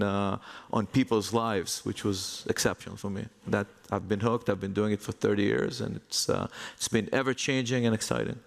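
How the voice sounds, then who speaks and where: A middle-aged man with an Israeli accent speaking as an executive guest in a conference interview, in measured phrases with pauses. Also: fairly low pitch, vocal fry. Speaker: real estate investment executive, panel guest. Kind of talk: conference interview, anecdotal talk. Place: conference hall stage. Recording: reverberant hall sound.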